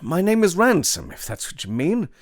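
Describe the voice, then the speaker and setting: A man's voice: high voice, huge pitch swings, normal speed, no room sound, studio quality, voice pushed up, full character voice. A man reading an audiobook, voicing Ransom, a reserved male scholar who is courteous and a little hesitant. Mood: polite. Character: polite, reserved English scholar.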